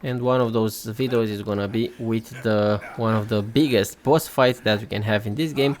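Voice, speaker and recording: Low voiced guy chatting over gameplay for his youtube channel, casual commentary. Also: steady tone, moderate pace, slight background noise. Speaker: YouTuber, game channel host.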